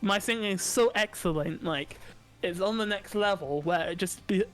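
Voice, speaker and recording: A man's voice: high-pitched, normal speed, a bit bright. A young man co-hosting a podcast from his desk at home, joking crudely and laughing often. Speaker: podcast co-host. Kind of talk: casual banter.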